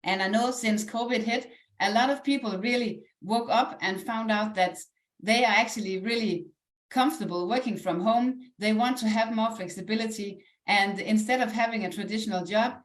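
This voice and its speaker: A woman's voice: medium pitch, normal speed. A woman with a Danish accent giving a live online motivational sales presentation in an earnest, encouraging, conversational way. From Denmark. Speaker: online presenter, network marketing ambassador. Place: indoors, echoey room, live stream. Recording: roomy, echoey live online call.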